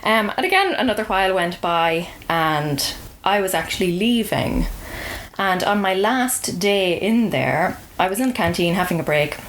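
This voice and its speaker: A woman's voice: mid-range voice, animated, moderate pace, slight background noise, rough recording. An Irish woman telling a personal story as a podcast guest, in a casual, wry and understated conversational way.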